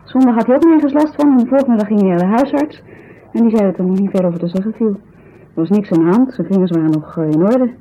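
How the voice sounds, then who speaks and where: A woman's voice: medium pitch, fast, dark tone, lightly acted. An actress playing a distraught, indignant woman in a 1970s Dutch radio drama, speaking with agitated, bitter emphasis. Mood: troubled, regretful. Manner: agitated, emphatic, bitter storytelling with tag questions. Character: distraught, indignant woman telling of her injured friend. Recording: muffled 1970s radio recording.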